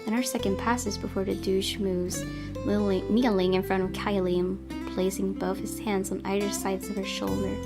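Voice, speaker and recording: A woman reading a visual novel aloud as a gameplay host, in a storytelling narration style. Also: medium pitch. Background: guitar music.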